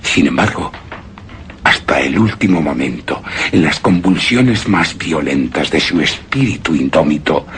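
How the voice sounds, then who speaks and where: An actor playing a grieving, obsessed widower in a suspense and horror radio drama, with a solemn, halting, brooding delivery that drops into whispers. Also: male voice, low voice, fairly bright tone, a little hiss or hum, heavily acted. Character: grieving, obsessed widower recounting his beloved's death. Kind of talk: Spanish-language suspense and horror radio drama, theatrical monologue.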